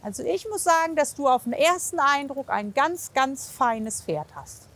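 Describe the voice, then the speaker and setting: A woman's voice: sits high, expressive, moderate pace, a bit bright. A woman speaking casually and reassuringly as a horse physiotherapist, coaching and commenting. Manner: encouraging, reassuring, with frequent praise. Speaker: horse physiotherapist assessing horse and rider.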